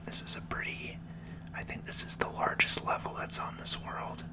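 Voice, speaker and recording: ASMR gaming host. Whispered throughout, soothing and unhurried, commentating on play. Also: rough recording.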